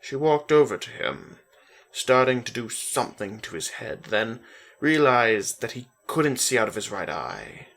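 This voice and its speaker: A man narrating a story as a slow, deliberate dramatic reading. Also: mid-range voice, sing-song, creaky, a bit bright.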